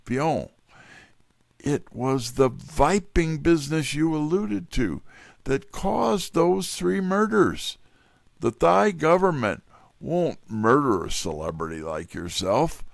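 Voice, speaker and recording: An actor playing a wry, tough, wisecracking detective's assistant in hardboiled first-person mystery narration and dialogue. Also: male voice, fairly high pitch, creaky, dry recording, full character voice.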